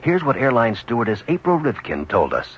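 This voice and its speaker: A man's voice: medium pitch, wildly swooping pitch, brisk, background noise. A man reading a scripted 1970s radio advertisement as announcer. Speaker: commercial announcer. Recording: dull old radio broadcast recording.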